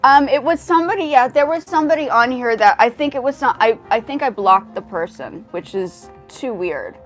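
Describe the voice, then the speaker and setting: A woman's voice: on the high side, lively intonation, normal speed, faint music behind. A woman in her forties hosting a casual livestream, talking to chat in a rambling way with many restarts. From grew up in South Africa, the United States and Japan. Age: 40s. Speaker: livestream host.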